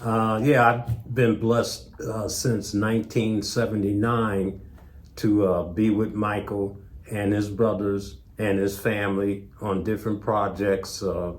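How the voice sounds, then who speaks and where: An older man reminiscing as an interview guest in a measured, modest, hesitant way. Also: on the low side, level tone, a little mellow. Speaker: interview guest, tour manager. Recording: faint background noise.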